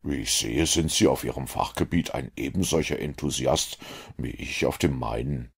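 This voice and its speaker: A man voicing a cool, shrewd gentleman detective in an audiobook, with a measured, dry, authoritative manner. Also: very deep voice, gravelly, breathy edge, voice pushed down, full character voice. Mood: observant, composed.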